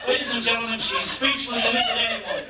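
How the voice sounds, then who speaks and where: An actor playing a showy 1950s TV game show host on stage, with grandiose, drawn-out patter. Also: male voice, extremely high pitch, little pitch movement, a loud crowd. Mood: brisk, gushing. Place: theater stage before a live audience. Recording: echoey hall, distant mic, noisy amateur videotape.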